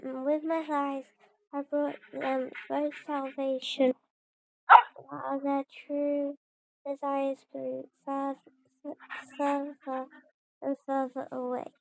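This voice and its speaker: A woman reading video game dialogue aloud in a halting way, often stumbling and correcting herself. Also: high-pitched, gravelly, a little mellow, dry recording, poor audio. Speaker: streamer playing and voicing a video game.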